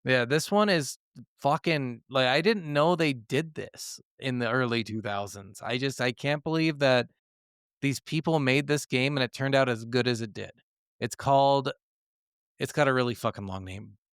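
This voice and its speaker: A man with a mid-pitched, creaky-edged but clean voice chats as a podcast co-host. He swears freely and sounds enthusiastic about his subject. The recording is dry, with very little room sound. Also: normal speed, fairly bright tone, no echo. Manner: profane, enthusiastic. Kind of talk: casual gaming podcast chat.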